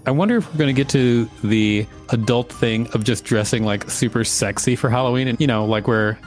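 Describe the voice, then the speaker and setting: Male podcast host, mid-pitched voice, article read-aloud, joking asides, teasing, faint music behind. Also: brisk.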